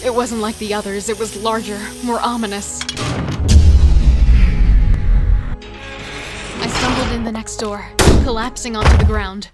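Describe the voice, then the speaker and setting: A woman's voice: a little high, steady tone, brisk, loud music behind the voice, natural voice. A woman playing a wary, devoted female killer in a horror audio drama, telling and living the story with tense emotion. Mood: drained. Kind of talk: first-person dramatic narration and horror audio drama dialogue.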